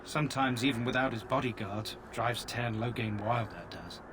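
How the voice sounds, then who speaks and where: An actor playing a plain-spoken army soldier in a camp, chatty with a dry, folksy humor. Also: male voice, mid-range voice, normal speed, noisy background, lightly acted. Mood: matter-of-fact. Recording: game dialogue over camp ambience.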